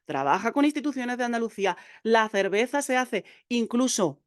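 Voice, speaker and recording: A woman teaching copywriting in a video lesson, speaking straight to the viewer with a lively, chatty manner and frequent fillers. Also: a little high, moderate pace, no room sound, clean studio sound. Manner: lively, addresses viewer directly, many fillers.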